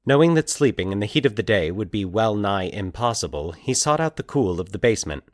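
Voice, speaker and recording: A man narrating scripted eerie fiction in a measured, deliberate, formal storytelling manner. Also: fairly low pitch, very animated, no echo, studio quality.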